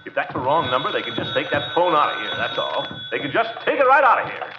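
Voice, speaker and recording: An actor playing a pompous, excitable middle-aged uncle in a 1940s radio comedy, blustering and fussing with sighs and exclamations. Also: male voice, on the high side, fast. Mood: irritated, blustering. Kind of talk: 1940s radio sitcom acting. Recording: muffled, hissy 1940s radio recording.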